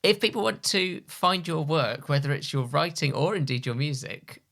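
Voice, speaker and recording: A man hosting an interview, asking questions in a measured way. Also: a little high, gravelly, fairly bright tone. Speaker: podcast host.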